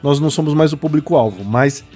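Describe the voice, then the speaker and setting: A man's voice: a little high, fairly quick, darkish tone, breathy edge, soft background music. A man with a Brazilian Portuguese accent co-hosting a casual film podcast, admiring and prone to restarts. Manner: admiring, restarts often, says "cara". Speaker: podcast co-host.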